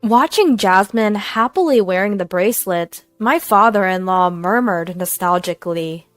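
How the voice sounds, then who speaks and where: A woman's voice: mid-range voice, lively intonation, moderate pace, dry recording, faint music behind. A woman reading a scripted, dramatic first-person story as narrator.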